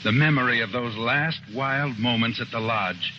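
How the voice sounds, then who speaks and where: An actor narrating a 1950s radio drama, dramatic and intense. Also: male voice, medium pitch, normal speed, noisy background, lightly acted. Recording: dull vintage radio recording with music.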